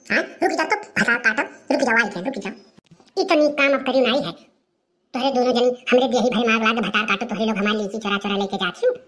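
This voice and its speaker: A woman's voice: a little high, fairly quick, fairly bright tone. A woman playing a furious, abusive village orchard owner in a comedy cartoon, scolding and insulting in an Awadhi accent. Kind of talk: rustic comedy cartoon dialogue.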